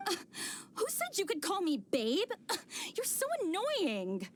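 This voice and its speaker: A woman's voice: very high-pitched, huge pitch swings, a little slow, a little hiss or hum, heavily acted. A woman voicing a petulant, exasperated young girlfriend in a video game, huffy and indignant. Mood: grudging. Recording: game audio with clear background music.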